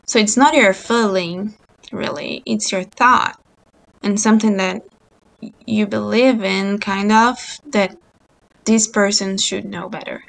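Unhurried Portuguese-speaking woman on a call. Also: medium pitch, steady tone, gravelly, low-quality recording. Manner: slow, hesitant, many ums. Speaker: book club presenter. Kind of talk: casual video-call discussion, reading aloud. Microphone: video call.

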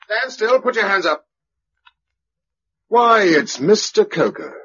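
An actor playing a practical, determined survivor in a radio drama, dry and matter-of-fact. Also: male voice, high-pitched, sing-song, slow pace, pushed and bright, breathy edge, full character voice. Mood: alert. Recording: muffled radio recording, faint noise.

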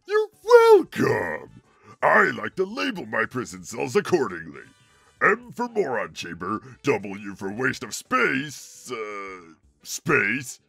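A man's voice: medium pitch, pushed and bright, no echo, exaggerated voice. A man voicing a grumpy, boastful monster turtle king in a growling, sneering cartoon voice. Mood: mocking. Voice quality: gravelly, growling. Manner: sneering, mocking, blustering. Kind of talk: cartoon character fan dub.

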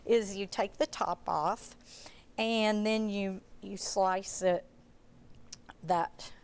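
A woman's voice: mid-range voice, steady tone, extremely slow. A woman in her fifties chatting through a cooking demonstration as a guest cook, on a lapel mic in a studio kitchen. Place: TV studio kitchen set, indoors. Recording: clearly noisy studio background.

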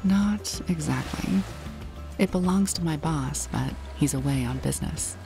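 Low-voiced flirtatious woman over music. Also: unhurried, gravelly, warm tone. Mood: flirtatious, teasing. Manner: teasing, coaxing. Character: flirtatious, confident, bold yacht-crew woman. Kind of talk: naturalistic audio drama acting.

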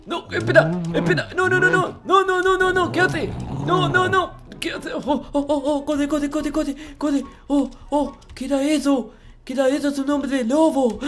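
A performer acts out a naive little boy in a video game roleplay, timid and whiny. He stammers and repeats himself in childish worry. Music plays behind the voice. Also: sits high. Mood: panicked, tearful. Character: naive, easily frightened childlike noob boy in Minecraft roleplay. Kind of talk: improvised in-character gaming roleplay.

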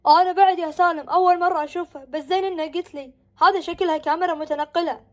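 A woman playing a stern, worried father in a homemade family skit, quick and exasperated. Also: extremely high pitch, steady tone. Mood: alarmed.

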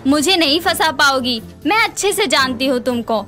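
Woman acting high, bright, emphatic cartoon family woman. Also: expressive, normal speed, soft background music. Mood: angry, taunting. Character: quick-tempered, dramatic Indian family woman in a cartoon.

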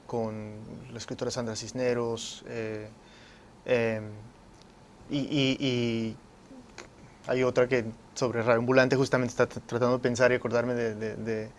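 A man's voice: mid-range voice, slight background noise. A young man, a guest in a TV studio interview, answering casually and repeating words as he searches for them. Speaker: interview guest, radio director. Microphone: lapel.

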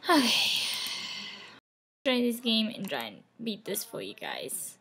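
A woman's voice: mid-range voice, wildly swooping pitch, takes it slowly, gravelly, bright, forceful tone, slightly rough. A young woman losing her voice, chatting casually over gameplay with rambling, half-whispered asides from a webcam at home. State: losing her voice, sore throat. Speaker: gaming channel host. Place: home room.

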